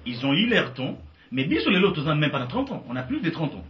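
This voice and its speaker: A man's voice: a little high, very animated, fast talker. A man with a Congolese French accent giving emphatic interview answers and mixing in Lingala. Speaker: guest. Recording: echoey, distant, dull sound.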